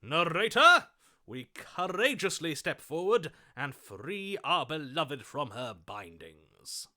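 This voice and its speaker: A man's voice: on the high side, sing-song, slow, bright, forceful tone, heavily acted. A man voicing a lovestruck, melodramatic romantic hero in a video game, speaking in a theatrical, florid, overwrought manner. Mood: ardent, persuasive. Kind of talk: video game character voice acting.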